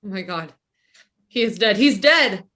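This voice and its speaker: A woman's voice: medium pitch, lively intonation, unhurried, bright, forceful tone. A woman playing a nervous but resolute wartime mother in a stage play reading. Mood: panicked.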